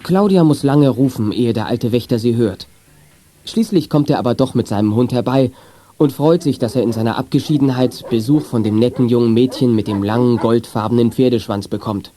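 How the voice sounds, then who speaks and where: A man's voice: medium pitch, a little fast, warm tone, soft background music. A man narrating a children's audio drama from a script, as the storyteller.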